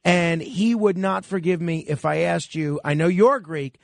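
A man's voice: high voice, a little slow, creaky, no room sound. A man hosting a radio talk show in a studio, interviewing a guest with long, winding questions full of restarts.

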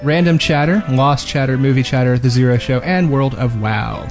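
A man with an average-pitched voice co-hosts a casual podcast, dropping dry joking asides into the banter. There is faint music in the background. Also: moderate pace, a little mellow. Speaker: podcast co-host. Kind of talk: casual, jokey group podcast chat.

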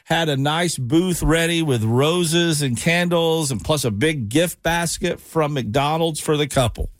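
A man's voice: a little high, a little slow, no room sound. A man hosting a morning radio show, upbeat and folksy with a sing-song delivery. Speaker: radio host.

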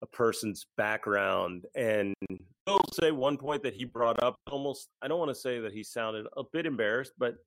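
A man co-hosting a podcast, giving earnest, reflective conversational commentary. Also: medium pitch. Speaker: podcast co-host.

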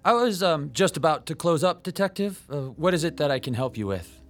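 Timid male shopkeeper, played by an actor: higher-pitched, hesitant, evasive, animated delivery, radio drama. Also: a little fast, faint music behind, close to an everyday voice. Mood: uneasy politeness. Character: timid, defensive male comic shop owner hiding guilt.

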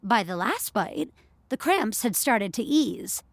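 A woman's voice: fairly high pitch, very animated, slow pace, gravelly, dry recording. A woman narrator reading scripted audio fiction as a storyteller.

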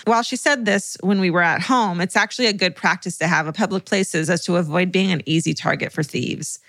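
A woman's voice: lowish voice, a little fast, fairly bright tone, no echo. A woman co-hosting a podcast, reading listener letters and chatting expressively, laughing easily and swearing. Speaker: podcast co-host.

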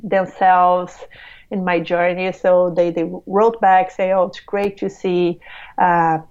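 A Brazilian-accented woman, a native Portuguese speaker, talks as an interview guest. She sounds excited and often restarts her sentences. Her audio is slightly muffled. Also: on the low side, clear, resonant tone. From Latin America. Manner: warm, excited, halting restarts. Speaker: podcast guest. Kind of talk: conversational interview.

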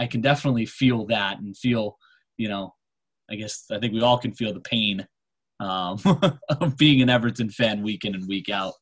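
A man in his twenties from America, hosting a casual podcast and talking in a halting way with many pauses and fillers. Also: lowish voice, fairly bright tone. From United States. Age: 20s. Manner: hesitant, lots of fillers. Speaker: podcast host.

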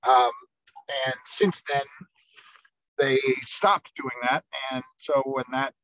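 A man, a reporter, explaining a story on a podcast over a video call from his parked car, in quick bursts with fillers. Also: medium pitch, sharp tone, no room sound, rough recording. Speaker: senior reporter. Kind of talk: casual podcast chat.

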